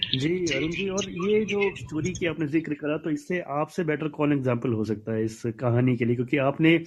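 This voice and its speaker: A man with an Indian accent hosting a film discussion conversationally, with hesitant pauses. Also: fairly high pitch, a little mellow, slight background noise. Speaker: host of a film discussion show. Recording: dull, lo-fi online audio.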